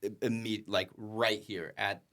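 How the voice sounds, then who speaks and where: A man's voice: on the low side, level tone, takes it slowly, a bit bright. A man hosting a podcast with casual banter, blunt and slangy, often repeating himself. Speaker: podcast host.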